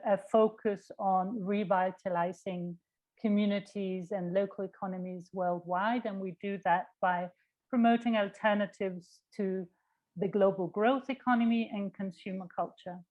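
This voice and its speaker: A woman's voice: medium pitch, darkish tone, poor audio. A woman with a Danish accent giving a friendly, conversational introduction as a workshop presenter, sometimes searching for words. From Denmark. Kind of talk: informal spoken introduction.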